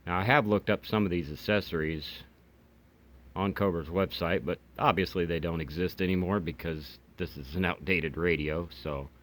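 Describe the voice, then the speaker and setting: Deep-voiced man casually demonstrating gear. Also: normal speed, a bit bright. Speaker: hobbyist video host reviewing radios. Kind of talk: casual unscripted product demonstration. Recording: clear background noise.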